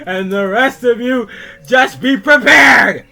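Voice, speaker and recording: A man's voice: very high voice, gravelly, sharp tone, voice pushed up, full character voice. A man voicing a despairing cartoon ferret who bitterly screams and sobs at his friends, dragging out his words. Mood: furious, despairing. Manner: screaming, sobbing, drawn-out words. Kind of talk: melodramatic reading of a cartoon suicide note.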